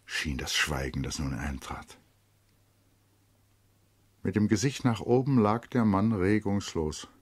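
A man narrating a literary radio play dramatically, in measured short phrases with expressive storytelling. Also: very deep voice, slightly rough, no echo. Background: prominent music.

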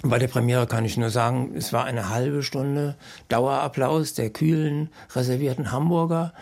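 A man looks back on studio nights in a loose, rambling manner, stumbling and restarting often. His voice sits mid-pitch, with a gravelly fry and a husky edge. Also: darkish tone. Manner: rambling, many fillers and restarts. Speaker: sound designer and composer. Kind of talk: reminiscing interview.

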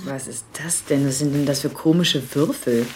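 A woman's voice: a bass voice, normal speed, darkish tone, soft background music. A woman playing a curious, wondering female police investigator in a radio crime drama.

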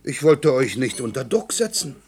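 An actor playing a stiff, earnest, impatient government official in a German radio play. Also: male voice, medium pitch, very animated, moderate pace, darkish tone, lightly acted. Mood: indignant, exasperated. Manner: earnest, exasperated.

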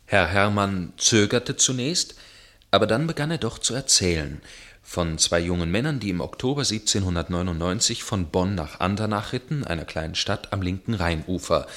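An actor playing a tormented storyteller recounting a dark tale in a German radio play, with grave, measured, literary delivery. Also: male voice, sits low.

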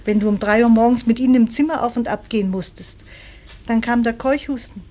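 A woman playing a practical, affectionate middle-aged housewife and mother, gently chiding, in a German radio play. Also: a little high, fairly quick, natural voice. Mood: wistful.

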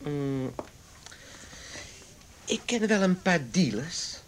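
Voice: mid-range voice, lively intonation, very slow, a little hiss or hum. An actor playing a sullen, offhand sixteen-year-old being questioned in a radio play. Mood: sullen, evasive. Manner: sulky, offhand. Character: sullen, evasive sixteen-year-old boy at police station. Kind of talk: Dutch radio play acting.

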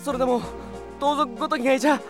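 High-pitched, impassioned young thief. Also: male voice, steady tone, fast talker, soft background music. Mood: desperate, pleading. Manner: impassioned, blurting. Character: young thief, rough-spoken, desperately loyal and repentant. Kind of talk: Japanese anime-style audio drama acting.

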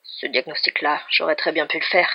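Narrator playing a stubborn, creaky, grumbling man. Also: medium pitch, fairly even intonation, a little fast. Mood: grumbling, dry. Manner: reluctant, grumbling, dry. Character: guarded, stubborn injured man, wryly teasing. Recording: dull, muffled lo-fi recording.

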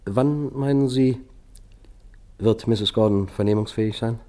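An actor playing a calm, methodical police sergeant in a matter-of-fact, probing way in a German radio crime drama. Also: male voice, fairly low pitch, unhurried, natural voice. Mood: businesslike, probing.

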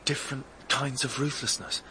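An actor with a British accent playing an earnest young fiancé turned investigator in a radio drama, urgent yet hesitant. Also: male voice, mid-range voice, fairly even intonation, normal speed, bright, forceful tone, slight background noise, poor audio. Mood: insistent.

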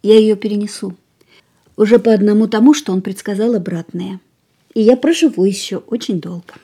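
An actress playing a gravely ill young woman who speaks quietly, tiredly and resolutely, often in a whisper, in a radio play. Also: mid-range voice, brisk. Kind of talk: studio radio drama acting.

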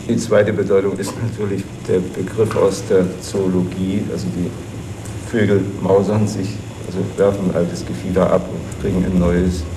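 Brief: male interviewee, deep monotone, halting expert explanation, recorded with noticeable ambient noise. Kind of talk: spontaneous explanatory interview speech. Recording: clear ambient background noise.

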